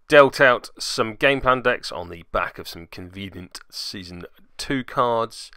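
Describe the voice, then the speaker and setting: A man's voice: fairly low pitch, bright, forceful tone, clear, resonant tone, dry recording, studio quality. A man hosting a web series, giving jokey, self-deprecating commentary on tabletop games with theatrical turns of phrase. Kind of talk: tabletop game video commentary.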